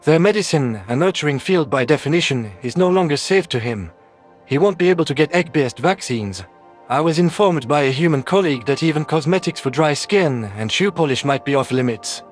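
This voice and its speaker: An earnest alien medic acted by a man with a fairly high male voice. He speaks in an explanatory way for a science fiction audio story, over faint music. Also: expressive, a little fast, close to an everyday voice. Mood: grave, explaining. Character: earnest male four-legged alien doctor.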